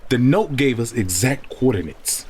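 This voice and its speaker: A man's voice: mid-range voice, animated, normal speed, a little mellow, slight background noise, close to an everyday voice. An actor playing a wounded, grieving father in an audio drama, emotional and confrontational. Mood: accusing. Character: wounded, grieving father, usually mild, turning accusatory.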